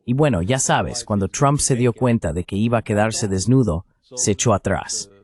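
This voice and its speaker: A man's voice: on the low side, moderate pace, darkish tone. A man reading a translated voice-over of a political commentator in an interview.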